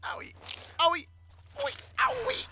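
A woman playing a childlike, scatterbrained, plucky misfit in a comic audio drama, excitable and naive. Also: extremely high pitch, normal speed, noisy background. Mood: childish, pained.

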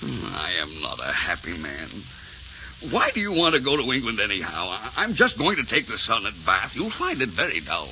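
An actor playing a fussy, reluctant, dour barber serving a prince in a 1940s radio drama. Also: male voice, on the low side, wildly swooping pitch, moderate pace, sharp tone, exaggerated voice. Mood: glum. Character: grumbling, reluctant bearded barber, servant to a prince. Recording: muffled 1940s radio recording, faint noise.

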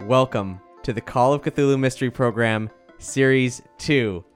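A man's voice: medium pitch, moderate pace, faint music behind. A man hosting a podcast, chatting casually to introduce a group. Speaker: podcast host. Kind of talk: casual hosted group chat.

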